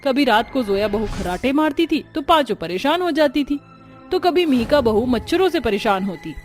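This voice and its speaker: Female storyteller, high voice, scripted family tale narration, faint music bed. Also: animated, moderate pace. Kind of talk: scripted moral story narration with acted dialogue.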